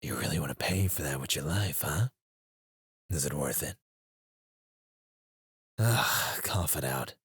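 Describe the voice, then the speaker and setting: A man voicing a menacing male mercenary interrogator in an ASMR roleplay, speaking in a low, taunting way close to the mic, sometimes dropping to a whisper. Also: a little slow, long pauses, fairly bright tone, husky, no echo, exaggerated voice.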